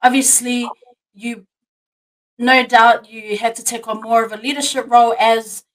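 A woman hosts a relaxed podcast interview, chatty and expressive, her sentences full of "like" and "you know". Her voice sits slightly high. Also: measured pace, low-quality recording. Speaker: podcast host. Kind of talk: casual interview chat.

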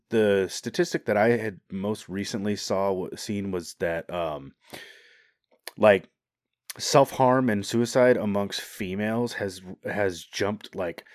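A man in casual podcast chat, crude and quick to laugh, swearing often. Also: fairly low pitch, a little mellow, no echo, clean studio sound.

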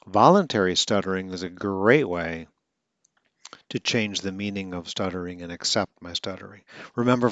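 A man with a lowish voice talks as a speech coach, earnest and plain, now and then catching on a sound and restarting a word. The recording is dry and close with a dull, muffled tone. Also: fairly bright tone, no room sound. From Atlanta, Georgia. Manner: earnest, with occasional stutters and self-corrections. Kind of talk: casual talk.

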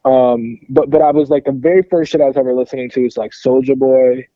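A man's voice: mid-range voice, fairly even intonation, fairly quick, no echo, dull audio. A young man in his early twenties from Detroit, a rapper answering interview questions in an easygoing way, hesitating often with fillers and slang. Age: 20s. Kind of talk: casual phone interview chat.

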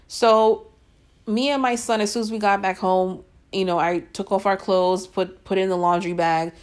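A young woman of Guyanese and Puerto Rican family, hosting a podcast and telling a personal story in a casual, exasperated way full of fillers. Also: medium pitch. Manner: conversational, frequent fillers, exasperated asides. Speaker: podcast host.

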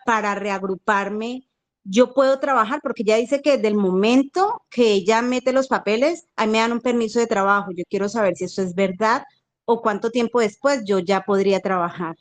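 A woman's voice: mid-range voice, moderate pace. A woman, asking for advice as a caller in spontaneous speech. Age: 40s. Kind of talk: spontaneous question and answer.

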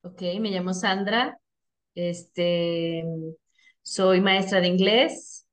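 A woman's voice: lowish voice, steady tone, very slow. Middle-aged woman in casual chat. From United States, Texas. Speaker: podcast guest, English teacher.

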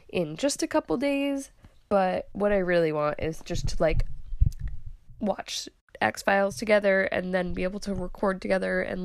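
A woman's voice: mid-range voice, no room sound. Chatty, congested woman podcast co-host. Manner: chatty, rambling with many fillers. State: congested from a sinus headache. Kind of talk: casual chat.

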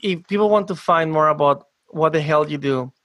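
A podcast host with a South American Spanish accent and a slightly high voice speaks warmly and effusively. His phrasing is loose and chatty as he praises his guest and signs off. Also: moderate pace. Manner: effusive, friendly, loose wording. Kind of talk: casual podcast hosting.

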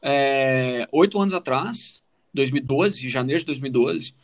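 A man's voice: mid-range voice, a little slow. A man with a Brazilian Portuguese accent telling his own story in a casual, informal way as a podcast co-host, often adding little check-in questions.

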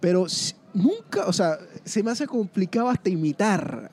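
A man with a Venezuelan accent, hosting a casual question game and answering in an animated, joking way that often repeats words. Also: high-pitched, normal speed, a little hiss or hum.

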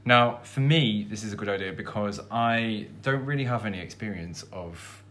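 A man's voice: on the low side, normal speed, a bit bright. A man from England with a Hull accent, co-hosting a casual podcast, rambling and self-deprecating, teasing his partner. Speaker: podcast co-host. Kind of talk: casual chat.

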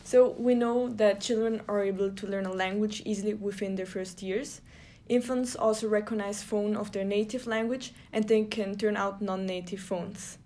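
A young woman from Italy reads her podcast notes in a soft, steady way. She grew up with Italian and German. Her voice is mid-pitched. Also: normal speed. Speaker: podcast co-host. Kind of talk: reading prepared notes.

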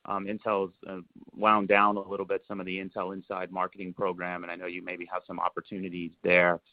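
Man, financial analyst, lowish pitch, even monotone, halting questions, thin dry phone audio. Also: no room sound. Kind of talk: unscripted earnings call question. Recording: tinny, dry phone line.

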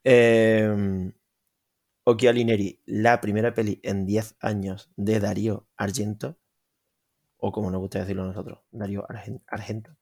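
A man's voice: on the low side, level tone, normal speed, creaky, a little mellow. A man from Spain with a Spanish accent, co-hosting a film podcast with sarcastic, profane rants. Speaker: podcast co-host. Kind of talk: casual crude film-podcast banter.

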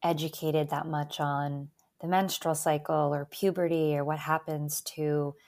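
A young woman from Albania raised in Canada, a native Albanian speaker, telling her life story as an interview guest in a calm, reflective, soft-spoken way. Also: low voice, a little slow. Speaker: guest, eating disorder advocate.

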